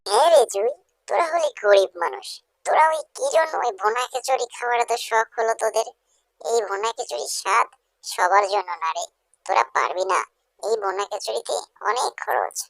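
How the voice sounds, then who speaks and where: A haughty, mocking village youngster from a cartoon, voiced by a woman. The voice is gravelly with a taunting delivery, recorded very dry. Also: on the high side, lively intonation, normal speed, no room sound, low-quality recording. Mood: scornful. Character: snobbish, mocking village youngster scorning the poor. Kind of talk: Bengali cartoon story dubbing.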